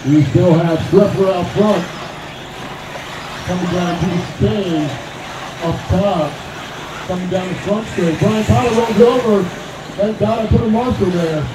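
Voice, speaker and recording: A man with a loud voice calling driver lineups and lap counts over the PA at an outdoor race track. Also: sits high, unhurried, pauses often. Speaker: race announcer. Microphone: PA loudspeaker. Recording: echoey PA heard from afar, noisy.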